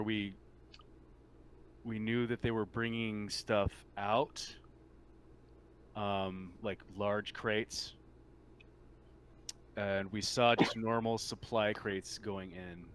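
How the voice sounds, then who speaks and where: A man running a tabletop game as game master, narrating casually and haltingly. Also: fairly low pitch, a bit bright, a little hiss or hum.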